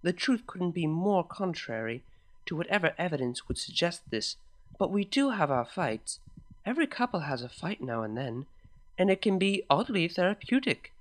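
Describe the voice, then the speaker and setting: A man reading a first-person fan story aloud in a steady, even way. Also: high voice, moderate pace. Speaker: story reader.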